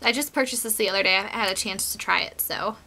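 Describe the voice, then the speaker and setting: Young woman, bright tone, chatty with fillers, getting over a cold, close webcam, small bedroom. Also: medium pitch, fast, creaky, a little hiss or hum, rough recording. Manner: chatty, relaxed, many fillers like "um" and "like". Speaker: beauty vlogger. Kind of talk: casual makeup tutorial.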